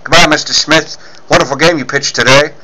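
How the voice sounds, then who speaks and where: A man voicing a brisk, fatherly, blustery newspaper editor in 1940s radio serial style. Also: a little high, steady tone, sharp tone, a little hiss or hum, poor audio. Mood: resigned.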